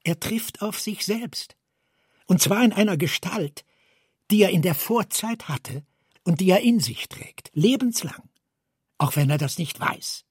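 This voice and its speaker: A male narrator reads literary text in a fairly high register for a man. The voice is husky and recorded close and dry, without room sound. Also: animated, a little slow, dry recording. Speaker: narrator reading literary prose.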